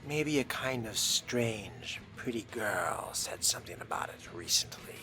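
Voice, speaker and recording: Game roleplay: courteous, curious fledgling vampire voiced by a man, low and very slow, music behind. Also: expressive, sharp tone, whispered. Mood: probing. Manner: measured, musing, formal. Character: polite, brooding, curious male fledgling vampire. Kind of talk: in-character video game roleplay.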